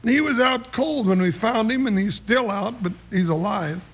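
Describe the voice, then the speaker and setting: An American actor playing a big, folksy, blustery cowboy sidekick in an old-time radio western, with a high, gravelly voice. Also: male voice, normal speed, poor audio. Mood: friendly. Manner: folksy drawling frontier talk, impatient exclamations. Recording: hissy old radio broadcast recording.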